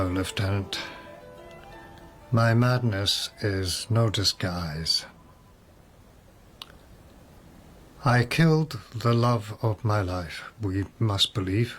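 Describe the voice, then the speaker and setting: A man's voice: fairly low pitch, takes it slowly, faint music behind. An actor in a radio drama playing a mad, doomed nobleman in a rambling, wry, reflective monologue. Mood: bleak confession. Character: mad, doomed nobleman musing on his death.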